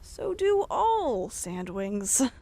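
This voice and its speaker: A woman voicing a blunt, forceful young dragon in a pointed, challenging way for an animated fan dub. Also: fairly high pitch, very slow, exaggerated voice.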